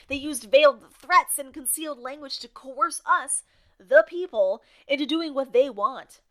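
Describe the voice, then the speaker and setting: A female co-host in a radio drama, with a fairly high, sharp-edged voice. She rambles with real conviction, wary of those in power, and keeps circling back to argue the other side. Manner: impassioned, argues back and forth with herself. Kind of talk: audio drama as casual radio broadcast.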